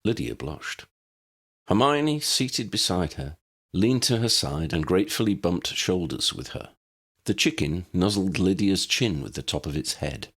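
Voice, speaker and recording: A man narrates fiction in a low, gravelly voice. His pitch swings widely as he tells the story, with deliberate and expressive phrasing. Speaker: audiobook narrator.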